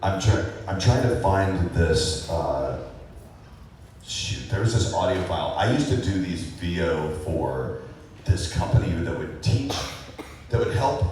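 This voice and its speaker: A man's voice: sits low, darkish tone, a little hiss or hum. A man answering fan questions as a voice actor on a convention panel, casual and rambling, with lots of fillers and chuckles. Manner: rambling, laid-back, many fillers and chuckles. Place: large convention hall. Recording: echoey hall recorded from far off.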